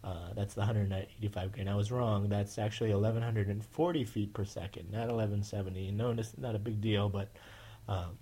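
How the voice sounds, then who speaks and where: Nasal, low-voiced man hosting a podcast. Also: fairly even intonation, a little fast, hardly any pauses, mellow, breathy edge. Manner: rambling, many fillers and restarts. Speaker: podcast host. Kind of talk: casual podcast chat.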